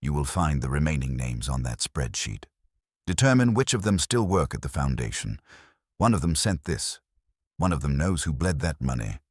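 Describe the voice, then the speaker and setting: Man voicing a very deep, grave older detective. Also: very animated, breathy edge, no echo, clean studio sound, heavily acted. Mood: grave, commanding. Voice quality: murmuring. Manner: measured, formal, pronouncing. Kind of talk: audiobook mystery dialogue.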